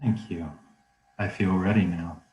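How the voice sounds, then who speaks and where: An actor playing a man's reanimated corpse possessed by a sadistic killer, speaking slowly and with menace in a script read. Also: sits low, steady tone, a little mellow, echoey room, highs cut off. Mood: weary, eerie. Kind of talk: screenplay table read.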